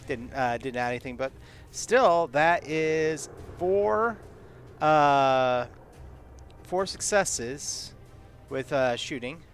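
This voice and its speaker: A man's voice: a little high, expressive, faint music behind. A man playing a tabletop game, describing his character's actions hesitantly with many fillers. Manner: slow, hesitant, full of filler words. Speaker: player. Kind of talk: casual tabletop play.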